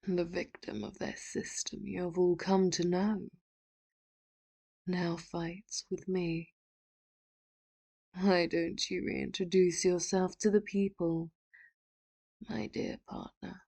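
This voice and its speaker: A woman playing a softly menacing, doting villain. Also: on the low side, little pitch movement, takes it slowly, creaky, pauses often, heavily acted. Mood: theatrical. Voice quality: soft and intimate, often whispered. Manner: sweetly manipulative, with quiet laughs. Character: possessive, doting female villain, calm and menacing. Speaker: ASMR roleplay voice actor. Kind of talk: audio roleplay, addressing the listener.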